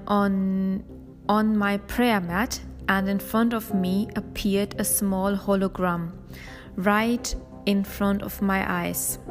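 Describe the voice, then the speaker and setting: A woman reads her own story aloud from handwritten pages, unhurried and earnest, now and then going back to fix a phrase. Her voice carries a long reverb, and soft music sits faintly underneath. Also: medium pitch. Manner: careful, earnest, sometimes restarting phrases. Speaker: podcast host. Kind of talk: reading a personal account aloud from handwritten notes.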